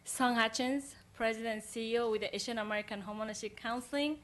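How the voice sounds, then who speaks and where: Quick-speaking woman introducing herself. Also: fairly high pitch, fairly even intonation, fairly bright tone, a little hiss or hum. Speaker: nonprofit president. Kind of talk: self-introduction at a public meeting.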